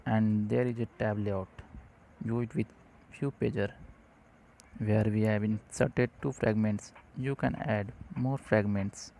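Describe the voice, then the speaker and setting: A man narrating a scripted software tutorial demo in short, halting phrases. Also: fairly low pitch, steady tone, creaky, a little hiss or hum. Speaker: tutorial presenter. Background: music playing behind the voice.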